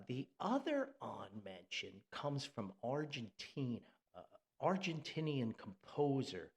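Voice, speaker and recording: A man's voice: medium pitch, gravelly. A man with a New Jersey accent, hosting a podcast and talking about music in a rambling, enthusiastic way, with fillers and chuckles. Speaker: podcast host and music critic. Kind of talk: casual, unscripted podcast commentary.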